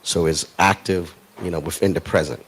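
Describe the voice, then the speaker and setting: A middle-aged man from Ghana speaking as a guest on a panel in front of a live audience, in a measured and reflective way. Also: low voice, steady tone, a little hiss or hum, rough recording. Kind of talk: panel discussion.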